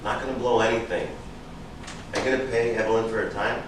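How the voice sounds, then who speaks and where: Man playing a low, cynical, washed-up writer, echoey room. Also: steady tone, quick pace, lightly acted. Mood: sardonic, defiant. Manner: sardonic, defensive. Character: cynical, boozy, washed-up male screenwriter-director, proud and defensive. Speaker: actor in a staged reading. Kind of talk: theatrical staged reading. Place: indoor room at a live staged reading. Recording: echoey room, distant mic, noisy background hiss.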